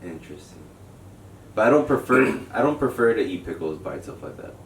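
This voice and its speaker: A man's voice: deep voice, big pitch swings, brisk. A man co-hosting a podcast in casual chat, rambling and joking and laughing easily. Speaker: podcast co-host. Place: home studio room. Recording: echoey room, mic far off, background noise.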